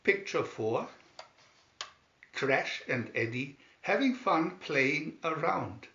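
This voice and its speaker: A man reading a children's picture-story booklet aloud in scripted narration, deliberately and with pauses. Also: on the high side, lively intonation, pushed and bright. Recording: dull lo-fi, roomy echo.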